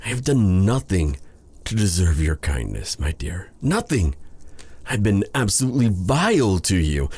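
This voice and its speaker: A man playing a brooding, remorseful dark sorcerer in an intimate fantasy ASMR roleplay, speaking formally and haltingly to the listener in a husky, breathy voice. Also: on the low side, exaggerated voice. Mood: anguished, self-loathing. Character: brooding, remorseful dark sorcerer, bitter yet tender captor.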